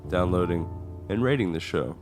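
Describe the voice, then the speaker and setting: A male announcer with a deep voice delivers a scripted promo. Music plays clearly behind him. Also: moderate pace. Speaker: show announcer.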